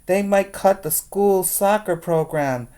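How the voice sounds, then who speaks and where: A man voicing a young boy who blurts out news loudly and urgently. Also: sits high, takes it slowly, clear, resonant tone, pitched above the performer's own voice. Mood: alarmed.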